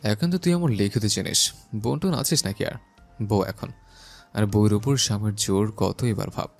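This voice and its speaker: A man's voice: fairly low pitch, normal speed, vocal fry, faint music behind. A man playing a bossy, teasing young husband in an audio love story, possessive but loving. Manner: teasing, possessive, lively delivery. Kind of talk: audio story character acting.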